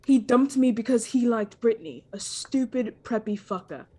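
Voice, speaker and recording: A woman's voice: fairly high pitch, lively intonation, normal speed, dark tone, breathy edge. A woman playing earnest, dramatic gothic teenagers in a comedic dramatic reading of fan fiction. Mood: sulky explaining.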